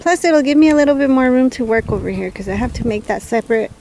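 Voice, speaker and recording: A woman's voice: sits high, expressive, quick pace. A woman with a scratchy, allergy-affected voice, chatting casually and thinking aloud like a home vlogger at her chores. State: scratchy voice and throat clearing from allergies. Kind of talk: casual chat while doing backyard chores.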